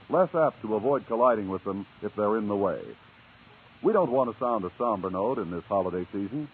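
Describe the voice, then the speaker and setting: A man's voice: on the low side, slightly rough. A man working as a radio announcer, reading a scripted public-service message in 1950s style. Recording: dull, narrow old radio recording.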